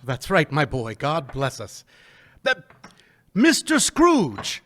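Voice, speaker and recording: A man playing a gentle poor clerk and father, speaking tenderly and haltingly in a live radio play. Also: on the high side, huge pitch swings, heavily acted. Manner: soft-spoken, tender and halting. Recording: live in a reverberant church hall.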